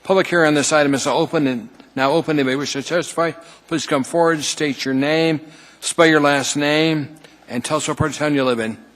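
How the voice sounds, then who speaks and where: A man chairing a city assembly meeting, running through agenda items in a brisk procedural way. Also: fairly high pitch, fairly even intonation, a bit bright. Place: council chamber. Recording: long reverb in a council chamber.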